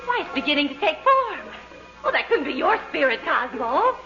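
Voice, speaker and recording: A woman's voice: sits high, big pitch swings, moderate pace, sharp tone, full character voice. A woman playing a dithery, distraught wife in a melodramatic 1940s radio comedy style. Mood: fluttery alarm. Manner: fluttery, melodramatic. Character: dithery, distraught wife of a supposedly dead man. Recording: dull vintage radio recording, music behind.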